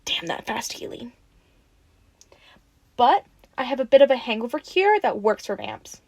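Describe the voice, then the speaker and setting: A woman acting in an audio roleplay as a friendly, excitable young woman who rambles casually and gets playfully defensive. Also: fairly high pitch, measured pace, no room sound, exaggerated voice. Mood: teasing, friendly.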